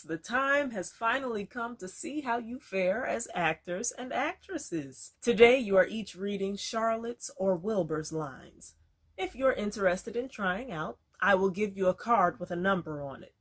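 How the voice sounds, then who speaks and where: A woman playing a formal, orderly schoolteacher giving instructions in a dramatic reading of a children's novel. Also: mid-range voice.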